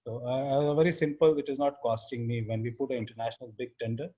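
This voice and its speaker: A man in his fifties speaks English with an Indian accent, his voice husky and set at an ordinary middle pitch. He talks in a halting way, with fillers, restarts and phrases that trail off quietly. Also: flat delivery, lo-fi sound. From India (Uttar Pradesh). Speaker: interview guest, business founder. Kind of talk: casual interview talk.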